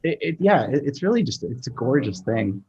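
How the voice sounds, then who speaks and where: Male interview guest, husky mid-range voice, conversational, halting, appreciative. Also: medium pitch, sing-song, a little mellow, highs cut off. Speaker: sound designer guest. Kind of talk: casual chat.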